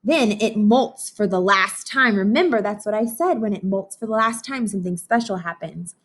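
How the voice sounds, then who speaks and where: A woman reading a children's book aloud like a teacher, expressive and instructive. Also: mid-range voice. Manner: expressive, instructive, with dramatic pauses and hushed asides. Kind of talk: children's read-aloud with explanations and questions to listeners.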